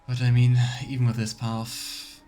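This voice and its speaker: A man's voice: medium pitch, monotone, measured pace, mellow, a little hiss or hum. A man doing a casual voiced let's play, musing and thinking aloud as he comments. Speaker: streamer, let's player. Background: faint game music.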